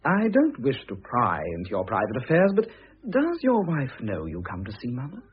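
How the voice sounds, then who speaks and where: A dull, lo-fi radio play recording presents a young student son. He speaks with very lively, swooping pitch and a very husky tone, teasing and pointed in a dry, old-fashioned theatrical way. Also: moderate pace, full character voice. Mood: wry, pointed. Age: young adult.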